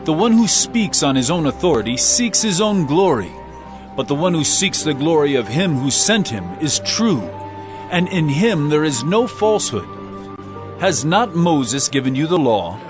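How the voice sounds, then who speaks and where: An impassioned male teacher in an audio Bible drama. His voice sits fairly high and moves with lively swings, earnest and emphatic as he preaches with authority. Clear music plays behind him. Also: moderate pace. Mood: challenging.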